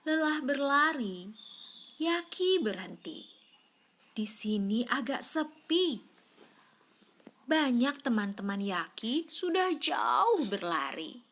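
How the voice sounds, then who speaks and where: A woman reading a children's story aloud expressively, drawing out words and prompting a young listener with questions. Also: high voice. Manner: animated, prompting, drawn-out words for suspense.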